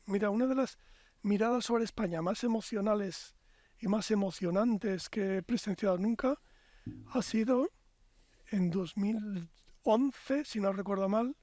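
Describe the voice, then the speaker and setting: High-voiced Spanish man musing at length. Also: measured pace, darkish tone, no room sound. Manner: reflective, expansive, builds long lyrical arguments. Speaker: podcast guest. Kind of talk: casual podcast conversation.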